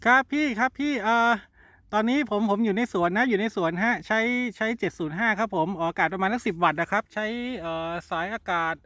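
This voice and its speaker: A man's voice: sits high, quick pace, pushed and bright, no echo. A man in a casual amateur radio exchange, polite and hesitant, repeating his words. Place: outdoors in a garden.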